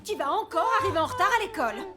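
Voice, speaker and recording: A woman voicing an exasperated, tidiness-obsessed mother in a cartoon, brisk and scolding. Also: extremely high pitch, lively intonation, music in the background. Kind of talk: French animated series dubbing.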